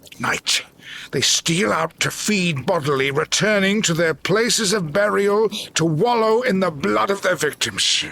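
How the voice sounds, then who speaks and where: An actor playing a grim, vengeful old general in a gothic audio drama, speaking formally and commandingly. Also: male voice, sits high, measured pace, vocal fry, bright, forceful tone, a little hiss or hum, full character voice. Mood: grim resolve.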